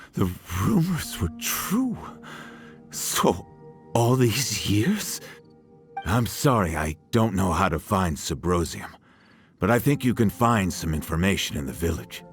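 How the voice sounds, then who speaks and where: An actor voicing a weary, regretful old village man in a video game, speaking haltingly. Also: low-pitched, vocal fry, full character voice. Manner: halting, hesitant.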